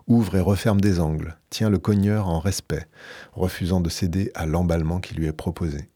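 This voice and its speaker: A man with a very low, husky voice reads a book aloud on radio in a measured, emphatic, dramatic way. His tone is dark and mellow. The recording is close and dry, from a studio microphone. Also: dry recording. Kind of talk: reading a book aloud, then a book review.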